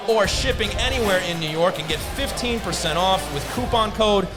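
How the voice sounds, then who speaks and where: Guy with a high voice hosting a live hockey show in a bar, loud music going behind him. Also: lively intonation, normal speed. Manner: addresses audience like an emcee. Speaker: sports talk show host.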